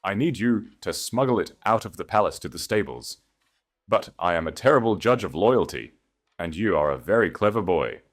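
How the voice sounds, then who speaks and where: A man voicing a proud, cunning grand duchess with a calm, measured authority in an audiobook romance. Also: low voice, pitched above the performer's own voice. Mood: conspiratorial.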